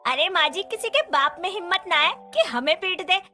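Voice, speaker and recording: A woman acts out a cocky young gym-loving daughter-in-law in a story cartoon. She is breezy and slangy in a high, sharp voice. Light music sits behind her. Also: expressive, moderate pace, full character voice. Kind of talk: Hindi moral-story cartoon dubbing.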